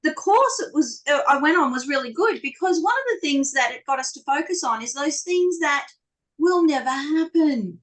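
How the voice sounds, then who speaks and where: Lively Australian woman on a panel. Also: sits high, moderate pace. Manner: animated, self-deprecating storyteller. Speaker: panel speaker. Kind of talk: live panel discussion.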